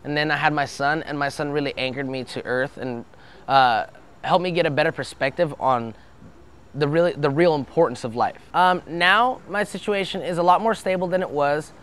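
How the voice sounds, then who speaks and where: A man's voice: a little high, normal speed, fairly bright tone, clean tone. A young man from Brazil, speaking earnestly in a documentary interview and often stopping to restart his sentences.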